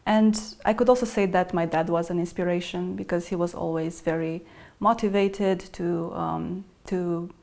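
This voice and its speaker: Soft-spoken woman, low voice. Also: fairly even intonation, normal speed, vocal fry. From Romania. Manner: soft, hesitant, with many restarts and fillers. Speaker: guest. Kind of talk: casual interview.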